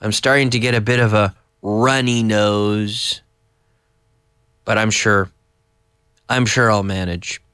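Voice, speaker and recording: A man with a cold and a hoarse voice, teaching English live to viewers in a chatty, slow, explaining way. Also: on the low side, steady tone, fairly bright tone, dry recording, clean studio sound. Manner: chatty, reads viewer messages aloud and explains slowly. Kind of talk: live online English lesson.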